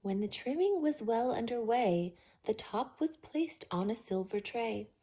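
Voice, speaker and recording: Lady reading a kids picture book, super expressive, mellow breathy voice, theatrical. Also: a little high, measured pace. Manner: theatrical, rhythmic rhyming delivery. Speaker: storytime host and reader. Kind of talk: expressive children's storybook read-aloud.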